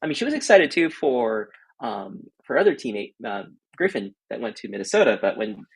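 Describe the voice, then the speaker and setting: A man's voice: lowish voice, sing-song, brisk, vocal fry, breathy edge, rough recording. A man who is a guest sports writer, giving casual, emphatic sports commentary with asides. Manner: emphatic, building arguments with asides.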